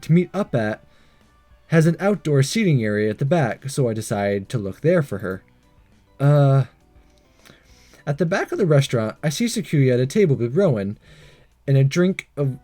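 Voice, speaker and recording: Man reading a game aloud, animated pitch. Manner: stumbles over lines and re-reads them. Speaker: let's play host. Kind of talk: reading visual novel aloud with reaction commentary. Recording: music behind the voice. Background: game music.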